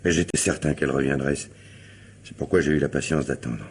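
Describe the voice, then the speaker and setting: An actor playing a wronged husband suspected of murder, guarded, hesitant and protesting, in a French radio crime drama. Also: male voice, rumbling low, a little fast, slight background noise. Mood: pained, earnest.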